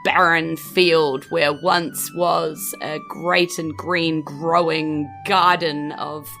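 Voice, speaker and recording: Bright-voiced New Zealand woman hosting. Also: low voice, steady tone, drawn-out words, vocal fry. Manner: gushing, affectionate. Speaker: game master and podcast host. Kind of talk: live tabletop podcast hosting. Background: faint music.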